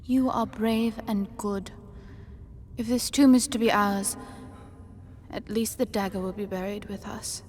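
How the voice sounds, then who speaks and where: A woman voicing a tender, brave young princess in gentle, earnest video game cutscene acting. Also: medium pitch, fairly even intonation, a little slow, natural voice. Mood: tender resolve. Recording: faint noisy game ambience behind.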